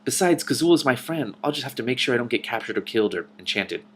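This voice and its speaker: Man reading as a brisk, stubborn young princess. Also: mid-range voice, expressive, fairly bright tone, a little hiss or hum, voice pushed up, natural voice. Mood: matter-of-fact. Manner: brisk, matter-of-fact retorts. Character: stubborn, practical young princess who works for dragons.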